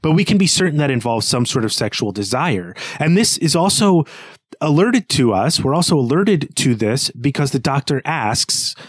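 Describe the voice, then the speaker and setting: A man's voice: medium pitch, big pitch swings, husky, no room sound. Analytical man on a dry-sounding podcast. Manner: analytical, thinking aloud, halting phrasing. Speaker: podcast co-host. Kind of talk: literary podcast discussion.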